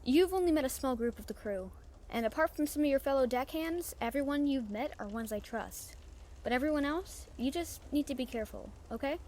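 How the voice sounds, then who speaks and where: A woman playing a brash but warm-hearted female pirate captain in an audio roleplay, confiding and casually salty. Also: sits high, fairly quick, close to an everyday voice. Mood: serious, confiding. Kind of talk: audio roleplay acting, chatting to the listener. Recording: faint background noise and ambience.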